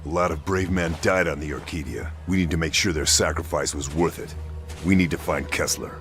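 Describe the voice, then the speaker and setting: A man playing a stoic, laconic commando sniper in a video game cutscene, terse and deadpan with a gravelly voice. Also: extremely low pitch, fairly quick, faint music behind. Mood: terse resolve.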